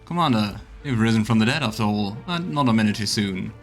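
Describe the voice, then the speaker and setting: A man's voice: fairly low pitch, lively intonation, fairly quick, soft background music. A man voicing a frank, practical crusader scout in a fantasy game, speaking in a hearty, plain-spoken way. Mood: relieved, joking. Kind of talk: voiced reading of fantasy game dialogue.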